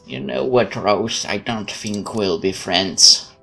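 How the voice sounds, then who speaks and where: Low-voiced sarcastic male let's player. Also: takes it slowly, vocal fry, sharp tone. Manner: sarcastic, interjecting quips. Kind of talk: game playthrough, reading text aloud with joking asides.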